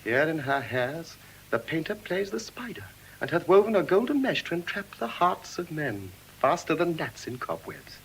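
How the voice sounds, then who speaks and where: An actor with an English RP accent playing an earnest, romantic young Venetian lord in classical Shakespearean verse, with measured theatrical delivery. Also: male voice, fairly high pitch, very animated, a bit bright, poor audio. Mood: rapt wonder. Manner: measured, theatrical, deliberate declamation. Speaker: stage actor. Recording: hissy vintage audio drama recording.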